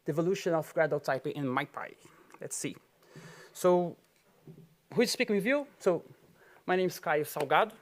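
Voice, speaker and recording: A man's voice: on the high side, normal speed, long pauses. Brazilian man giving a chatty tech talk. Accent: Brazilian Portuguese. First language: Portuguese. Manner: loose, chatty, many "like" fillers and small laughs. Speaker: conference speaker. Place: auditorium.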